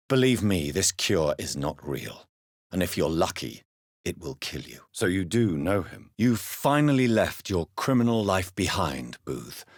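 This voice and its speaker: A man playing a grave, courteous, troubled gentleman doctor turned vampire in a video game, speaking formally and earnestly with a husky voice. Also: low voice, no echo, clean studio sound, exaggerated voice. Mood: stern, intense. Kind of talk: dramatic video game dialogue.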